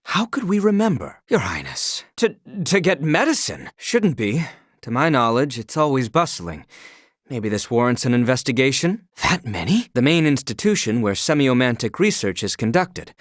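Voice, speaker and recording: A man's voice: mid-range voice, big pitch swings, normal speed, no room sound, studio quality. An actor playing a loyal young knight in a video game, speaking in a formal, old-fashioned way, dutiful and protective of his princess. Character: earnest young male knight, loyal royal guard.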